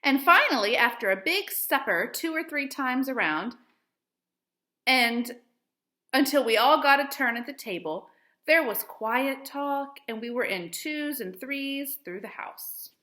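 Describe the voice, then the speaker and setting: A woman teacher reading a storybook aloud to children with expressive, deliberate storytelling phrasing. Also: high-pitched, roomy sound.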